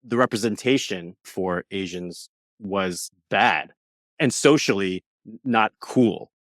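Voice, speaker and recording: Low-voiced man chatting animatedly. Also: takes it slowly, dry recording, studio quality. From New York, USA. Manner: animated storytelling, acting out quoted voices. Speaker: guest. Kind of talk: casual interview chat.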